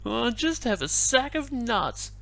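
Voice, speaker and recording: A man voicing a small, timid squirrel in a picture-book reading, stammering and squeaking. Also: very animated, slow pace, gravelly, fairly bright tone, exaggerated voice. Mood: frightened.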